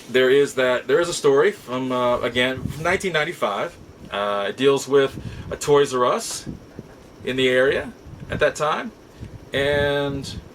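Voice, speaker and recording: A man's voice: on the high side, fairly bright tone, a little hiss or hum, poor audio. A middle-aged man hosting a hobby show, telling stories casually to viewers in an exasperated, emphatic way and repeating phrases for stress. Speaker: host of a nostalgia and toy collecting show. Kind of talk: casual rambling storytelling to viewers.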